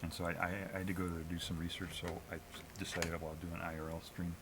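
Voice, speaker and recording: Low-voiced American man, hesitant livestream chat. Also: completely flat delivery, background noise. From New England, US. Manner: hesitant, halting, self-critical. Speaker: amateur live streamer. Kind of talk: casual unscripted solo livestream chat.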